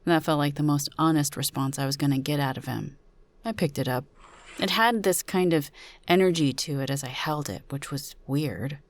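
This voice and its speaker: A woman's voice: low-pitched, moderate pace, dry recording. A woman narrating a suspense story in the first person, as a dramatic reading.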